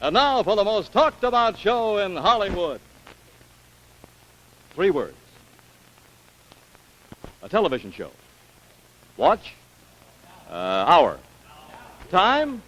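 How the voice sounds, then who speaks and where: High, swooping vintage male announcer. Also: big pitch swings, slow pace, pauses often, fairly bright tone. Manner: showy, projected, emphatic. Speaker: television announcer. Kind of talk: 1950s game show announcing. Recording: dull vintage television soundtrack. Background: music.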